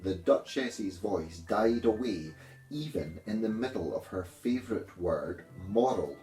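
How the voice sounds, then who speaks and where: A man narrates a children's story at a slow, careful pace with a very lively range. His voice sits fairly low, in a roomy, echoing space with faint music behind it. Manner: measured, expressive. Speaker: storybook reader, primary school teacher.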